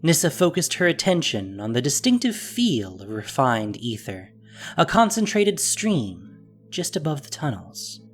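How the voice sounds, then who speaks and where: A narrator reading a scripted fantasy story with expressive, descriptive delivery. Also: medium pitch, measured pace, soft background music.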